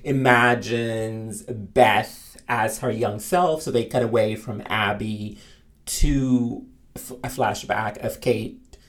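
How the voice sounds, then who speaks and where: A man in casual conversation about movies, animated and opinionated, often laughing mid-sentence. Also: fairly low pitch, slow pace. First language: Arabic. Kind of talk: casual film discussion.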